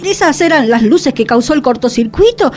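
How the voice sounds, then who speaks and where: A woman's voice: sits high, expressive, normal speed, darkish tone, soft background music. A woman playing a sceptical, theatrical and lightly sarcastic character in a radio comedy drama. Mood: sceptical, indignant.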